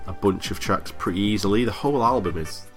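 A man's voice: lowish voice, brisk, soft background music. A man hosting a podcast in casual, frank chat, talking with a bit of a cold. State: has a cold. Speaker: podcast host.

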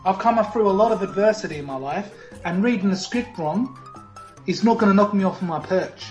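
A man's voice: sits high, animated, fairly quick, highs cut off. Comedy parody: a man playing a cocky Australian male TV game show host, put-on accent, showy bluster, echoey room. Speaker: podcast host. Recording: roomy echo with faint music.

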